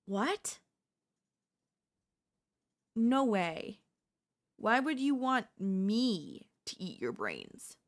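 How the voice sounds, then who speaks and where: A woman's voice: a little high, vocal fry, exaggerated voice. A woman voicing a sly, chatty female zombie in a comedic audio roleplay, coaxing and reasoning in a casual, conversational way. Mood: friendly persuasion.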